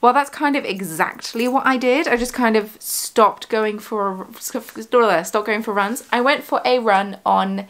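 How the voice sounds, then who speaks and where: A woman's voice: fairly high pitch, unhurried, hardly any pauses, fairly bright tone. Woman, young adult, rambling vlog chatter with ums, living room, close camera. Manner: chatty, rambling, many fillers and self-corrections. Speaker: yarn shop owner and vlogger. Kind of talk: casual studio vlog. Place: living room at home, indoors. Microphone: camera on desk at close range.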